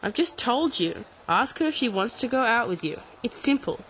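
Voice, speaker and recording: A practical, encouraging teenage boy in a radio drama for English learners. He speaks in short, prodding replies with very animated pitch. Faint noise and ambience sit behind the voice. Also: normal speed, poor audio. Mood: reluctant, practical.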